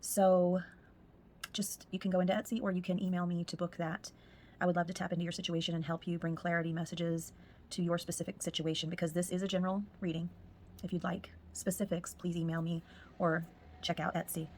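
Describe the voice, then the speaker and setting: A woman with a husky, hoarse voice that is wearing thin, talking softly through a tarot reading. Her voice sits fairly low, and some words drop close to a whisper. A faint hiss runs underneath the recording. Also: little pitch movement, fairly quick. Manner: soft-spoken, drifts into whispers at times. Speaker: tarot reader. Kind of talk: spiritual reading, casual monologue.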